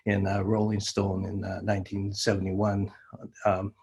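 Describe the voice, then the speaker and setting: A man's voice: lowish voice, completely flat delivery, measured pace, a little mellow, slightly rough. An older man giving an informal tribute on a video call, very hesitant and repeating himself.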